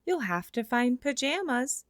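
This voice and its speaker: A woman reading a children's picture book aloud in an expressive way, slowing down for the closing lines. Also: high voice, no room sound, studio quality. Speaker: storybook reader. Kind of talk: children's read-aloud narration.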